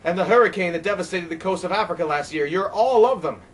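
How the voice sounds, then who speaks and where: A man's voice: animated, quick pace, distant mic. A man voicing a frantic, terrified man who rages and pleads against the wind. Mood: feverish. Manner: shrill, shouting, panicked.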